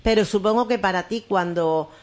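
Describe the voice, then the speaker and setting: A woman's voice: mid-range voice, moderate pace. Friendly woman radio host. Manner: friendly, rambling questions with fillers. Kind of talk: radio interview.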